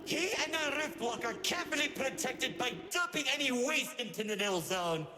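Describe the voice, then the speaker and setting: An actor playing a flamboyant, melodramatic villain who narrates a video game with pompous sarcasm. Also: male voice, extremely high pitch, lively intonation, normal speed, sharp tone, a little hiss or hum, full character voice. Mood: grandiose storytelling. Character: flamboyant, melodramatic, mocking villainous narrator, theatrical and self-absorbed. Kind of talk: theatrical game voice acting with comic rants. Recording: echoey hall reverb with faint music.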